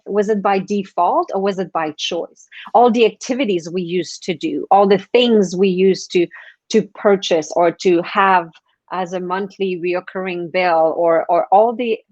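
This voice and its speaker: A woman's voice: fairly low pitch, no echo, muffled recording. A woman guest on a live online show, giving earnest motivational advice with frequent restarts; French is her first language.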